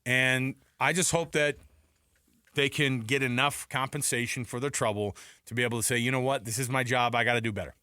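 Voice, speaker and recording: Man, middle-aged, mid-pitched, sports talk co-host, emphatic, repetitive for effect, dry studio sound. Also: steady tone, fairly quick, sharp tone, clean tone, dry recording. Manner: emphatic, rhetorical questions, repeats points.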